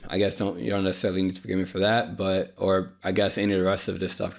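A man's voice: sits low, creaky. A young man hosting a solo podcast, talking in a tired, apologetic way, with lots of fillers and restarts, his voice a bit dry. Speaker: podcast host. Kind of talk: unscripted solo podcast monologue. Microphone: podcast microphone.

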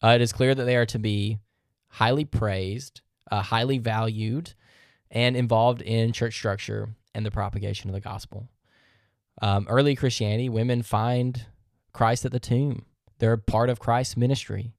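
A man's voice: medium pitch, steady tone, normal speed, no room sound. Earnest man teaching scripture, dry sound. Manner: earnest, methodical, reads verses aloud; rhetorical questions. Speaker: podcast host.

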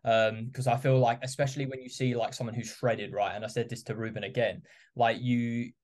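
A man's voice: medium pitch, a little fast. A male podcast host in easygoing conversation, often humming along as he listens and reading out listener questions. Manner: relaxed, lots of listening hums. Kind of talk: casual chat.

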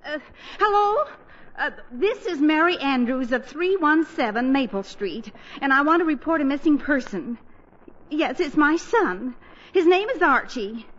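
A woman's voice: high voice, animated, a little slow. An actress playing an anxious, fretful middle-aged mother in a 1940s radio comedy. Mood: anxious, urgent. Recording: muffled, hissy 1940s radio broadcast.

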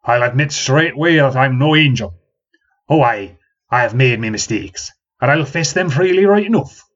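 A stubborn old man holding court in a tavern, as a male narrator puts him on, full of bluster and grand proclamations, dropping ye and aye as he goes. His husky voice is pushed higher and louder than the plain telling, and takes on a different colour from it. The recording is dull and lo-fi. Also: very animated, moderate pace. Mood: proclaiming.

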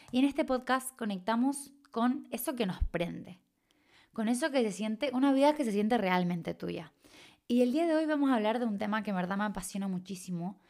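A woman's voice: mid-range voice, darkish tone. A young woman from Chile with a Chilean Spanish accent, hosting a reflective solo podcast and sometimes stretching words or dropping to a whisper for emphasis. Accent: Chilean Spanish, slightly mixed. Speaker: podcast host and coach.

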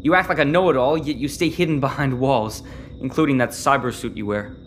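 A man's voice: medium pitch, brisk, a bit bright, a little hiss or hum, natural voice. A man playing a frustrated, defiant teenage boy in a sci-fi audio drama, argumentative and sarcastic. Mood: defiant, mocking.

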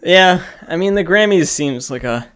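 Man, podcast co-host, casual chat, wry jokes, light laughs, slightly high pitch. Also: expressive, normal speed, fairly bright tone, smooth. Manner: wry, joking, laughs often.

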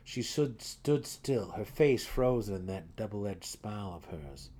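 A man's voice: fairly low pitch, animated, measured pace, dark tone, husky. A man reading scripted fantasy narration in a dramatic, intense and theatrical way. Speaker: audiobook narrator.

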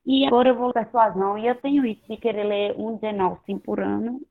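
A hoarse woman with a Northeastern Brazilian accent co-hosting a casual book podcast, blunt, slangy and given to swearing. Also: mid-range voice, fairly even intonation, brisk. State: hoarse from two days of partying. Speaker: podcast co-host. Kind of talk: casual chat about books. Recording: tinny phone-like line.

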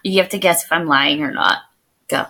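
A woman's voice: low voice, fairly quick, gravelly, pushed and bright, husky. A woman in her thirties co-hosting a podcast in a casual, jokey, giggly way. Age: 30s. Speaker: podcast co-host. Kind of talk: casual chat.

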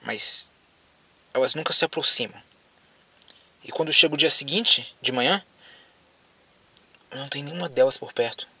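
A man acting in an audio drama as a lonely, frightened apocalypse survivor with a Brazilian accent, speaking haltingly as if on a phone call. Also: mid-range voice, pushed and bright, slightly rough, no echo, far from the mic. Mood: uneasy, hushed. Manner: hesitant, halting, repeats words.

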